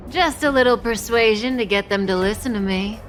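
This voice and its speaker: An actress playing a calm, cunning, teasing female villain in a video game cutscene, with playful confidence. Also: on the high side, brisk, soft background music, natural voice. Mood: teasing, nonchalant.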